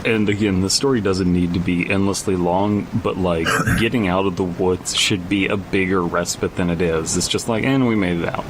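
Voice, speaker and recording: A man's voice: fairly even intonation, normal speed, few pauses. A man co-hosting a podcast, casually reading a story aloud and adding joking asides. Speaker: podcast co-host. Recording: loud low rumbling background noise.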